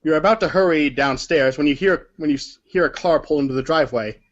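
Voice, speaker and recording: A man's voice: on the high side, fast, highs cut off. A man narrating a story aloud as if from a storybook, sometimes stumbling over words and correcting himself. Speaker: narrator of a group readers' theater.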